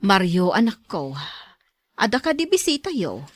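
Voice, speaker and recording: Lively Ilocano actress as a caring mother. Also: mid-range voice, normal speed, dry recording. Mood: curious. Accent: Ilocano Filipino. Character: caring, encouraging older mother of grown son. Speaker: actor in an Ilocano audio drama. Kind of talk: acted radio drama dialogue.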